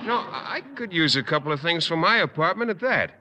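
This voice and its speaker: An actor playing a shy, naive young teacher who stammers and hesitates, in a 1950s radio sitcom. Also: male voice, on the high side, animated, brisk, lo-fi sound, close to an everyday voice. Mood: bashful. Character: shy bashful young male biology teacher. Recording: old radio recording with loud hiss.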